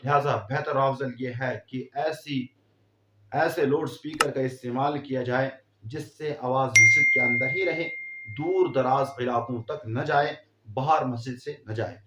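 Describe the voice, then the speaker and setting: A man giving a religious explainer talk as an Islamic teacher, in a measured, didactic way. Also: mid-range voice, heavy background noise. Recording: echoey room, dull muffled sound.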